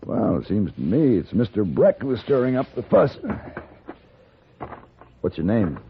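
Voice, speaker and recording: Low-voiced, calm western gunfighter. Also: male voice, unhurried, slight background noise. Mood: calm probing. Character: calm, worldly male gunfighter narrating a western. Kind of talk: old-time radio western acting and narration. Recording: muffled vintage radio recording with music.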